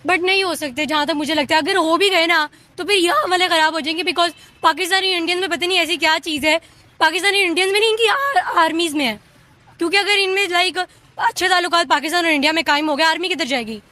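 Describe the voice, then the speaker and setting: A woman's voice: very high voice, fast talker, bright, forceful tone. A woman with a Pakistani accent giving off-the-cuff opinions in an interview, mixing in English words.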